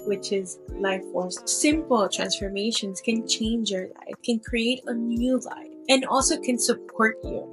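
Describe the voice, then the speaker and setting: A woman's voice: mid-range voice, rough recording. A woman podcast host, talking in a casual, searching way, often restarting her sentences. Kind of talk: casual interview, reflective intro.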